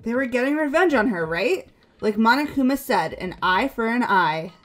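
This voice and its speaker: A woman's voice: on the high side, animated, moderate pace, natural voice. A woman voicing an impulsive, emotional, hot-headed teenage girl in a video game. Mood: pleading. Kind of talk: amateur video game voice-over. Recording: background music throughout.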